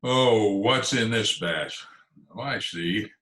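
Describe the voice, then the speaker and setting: A man's voice: on the low side, slow, noisy background. A man playing a pompous, blustering and easily panicked school principal in old-time radio comedy style. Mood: bossy, exasperated. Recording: echoey remote video-call recording.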